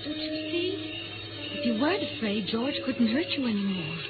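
A woman's voice: a little high, sing-song, moderate pace. An actress playing an earnest, fearless young woman, pleading insistently in theatrical 1950s radio drama style. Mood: urgent pleading. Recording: tinny old radio recording, loud music.

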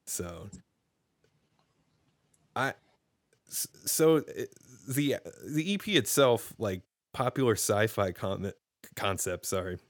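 A man's voice: on the low side, lively intonation, slow, pauses often, no echo, clean studio sound. A man hosting a podcast over a video call, chatting casually with lots of restarts and hesitant questions. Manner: rambling, hesitant, many restarts and laughs. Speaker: podcast host.

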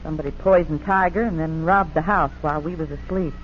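Folksy hill-country farm wife, actress, plain-spoken and brisk, 1940s radio drama with faint hiss. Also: female voice, low-pitched, lightly acted. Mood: weary, suspicious. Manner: folksy, blunt country talk. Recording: dull, hissy 1940s radio broadcast.

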